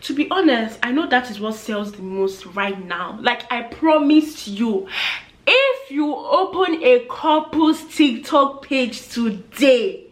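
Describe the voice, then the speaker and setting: A woman's voice: fairly high pitch, animated, slow. A young woman in her twenties giving earnest, chatty advice to camera, acting out the voices she quotes. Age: 20s. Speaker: vlogger. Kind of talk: casual advice talk to camera. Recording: echoey room.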